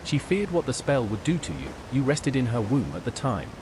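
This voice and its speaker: A woman's voice: very deep voice, sing-song, normal speed, noisy background. A woman voicing a plain-spoken, helpful adventurer in video game dialogue, with a matter-of-fact manner. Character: plain-spoken adventurer helping troubled souls.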